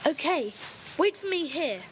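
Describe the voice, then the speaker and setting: A bossy eager boy, high lively voice, tape hiss. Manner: brisk, decisive, eager. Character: bold boy leader of the gang, Peter. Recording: noisy tape recording.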